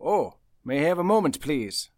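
An actor playing a calm, reassuring police officer in a radio play, folksy and polite. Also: male voice, a little high, normal speed.

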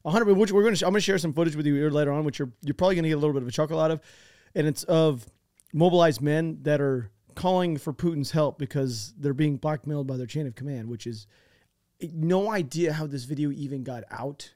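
An American man hosting a podcast, excitable and swearing freely, chatting and doing ad reads. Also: on the high side, fast talker, no room sound, studio quality. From United States. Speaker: podcast host. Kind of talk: casual chat with ad read.

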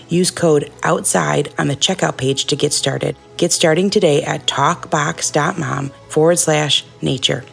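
A woman's voice: low-pitched, gravelly, breathy edge. A woman podcast host reading a scripted sponsor spot in an announcing style. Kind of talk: scripted ad read.

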